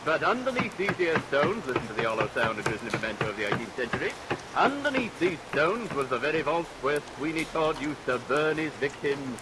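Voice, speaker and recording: A man's voice: fairly high pitch, sing-song, a little fast. An actor playing a Cockney London tour guide who relishes grisly tales in theatrical showman's patter. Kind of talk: vintage radio drama acting. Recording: vintage radio recording under rain noise.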